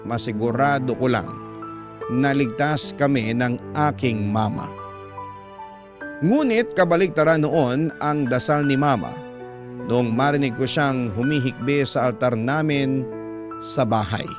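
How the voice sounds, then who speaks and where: A man's voice: medium pitch, a little slow, pauses often. A man with a Filipino accent reading a letter aloud as dramatic scripted radio storytelling. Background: background music.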